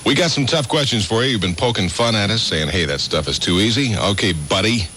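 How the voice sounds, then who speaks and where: Animated low-voiced man hosting morning radio. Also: fairly quick, noisy background. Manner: joking, teasing. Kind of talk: classic rock morning show banter. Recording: 1980s AM radio aircheck, music behind.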